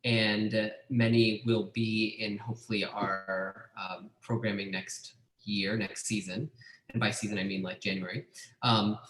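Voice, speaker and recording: A man leads a casual talk about theater, thoughtful and enthusiastic. His pitch is mid-range for a man. The voice sits in a roomy, echoey space. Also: little pitch movement, a little slow, a bit bright. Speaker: theater director and host. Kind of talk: casual conversation. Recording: echoey room.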